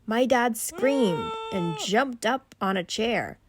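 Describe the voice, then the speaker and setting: A woman reading a rhyming children's bedtime story aloud in an expressive storytelling style. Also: a little high, slow, slight background noise.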